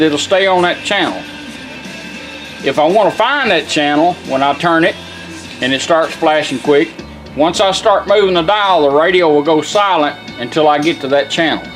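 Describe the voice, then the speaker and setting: Folksy man, loud music behind. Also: fairly high pitch, poor audio. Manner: folksy, plain-spoken. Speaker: product demonstrator, car radio builder. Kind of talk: informal hands-on product demo. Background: car radio playing music.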